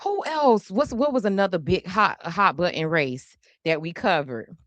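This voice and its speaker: A woman's voice: fairly low pitch, lively intonation, moderate pace, a bit bright, no echo, dull audio. A woman hosting a casual political commentary livestream, chatty and teasing, who swears freely and laughs often. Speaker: podcast host.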